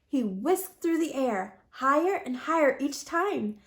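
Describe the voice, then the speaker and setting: A woman hosting a children's storytime, reading a picture book aloud with expressive delivery in her home library. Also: high-pitched, slow. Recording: steady background hum.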